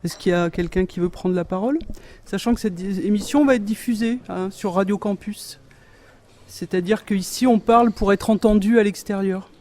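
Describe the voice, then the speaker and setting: A man with a high-pitched voice hosts an informal radio discussion. His tone is mellow and relaxed as he presents to the group. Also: moderate pace. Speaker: radio host.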